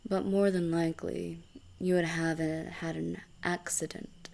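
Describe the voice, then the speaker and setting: Slow, gravelly female assassin, acted by a woman. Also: fairly low pitch, level tone, darkish tone. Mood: taunting. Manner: mocking, unhurried, breathy chuckles. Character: smug, taunting female assassin, coolly seductive villain. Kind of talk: fantasy audio roleplay acting.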